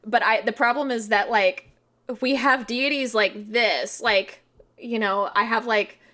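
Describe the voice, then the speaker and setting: A woman's voice: a little high, steady tone, a little slow, a bit bright. A woman of thirty talking as a podcast guest in a casual, informal interview style, explaining things with frequent filler "like".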